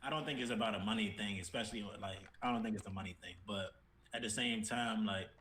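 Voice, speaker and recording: A man with a fairly low voice co-hosts a casual discussion over faint background noise. He is measured, qualifying his points as he explains them at length. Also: a bit bright. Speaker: podcast co-host.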